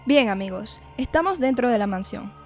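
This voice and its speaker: A woman's voice: fairly high pitch, lively intonation, normal speed, a little mellow, faint music behind. A woman playing a determined young leader of a paranormal investigation in a horror audio drama, composed and assertive like a presenter. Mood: curious.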